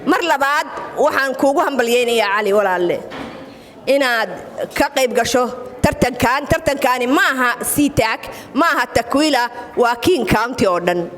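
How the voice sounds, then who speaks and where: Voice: mid-range voice, expressive, moderate pace, a bit bright. A community speaker giving an emotional endorsement speech at an event. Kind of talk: public speech. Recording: hall with long reverb.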